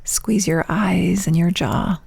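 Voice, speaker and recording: A woman leading a guided meditation as a yoga nidra teacher, speaking in hushed, soft phrases with long pauses, turning breathy at phrase ends. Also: fairly low pitch, fairly even intonation, dry recording. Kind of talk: guided meditation, soothing instruction.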